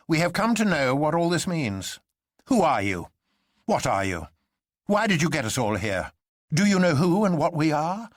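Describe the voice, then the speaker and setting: A man acts a dignified male secretary in a radio drama. He is stern and formal, bristling with indignation, with his voice lifted in pitch. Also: big pitch swings, moderate pace, dry recording, clean studio sound. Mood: savage indignation. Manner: stiff, formal, savage outbursts.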